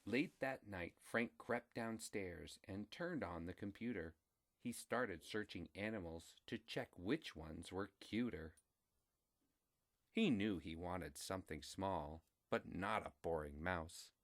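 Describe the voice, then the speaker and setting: A man reading a children's story aloud in a deliberate, expressive way. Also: sits low.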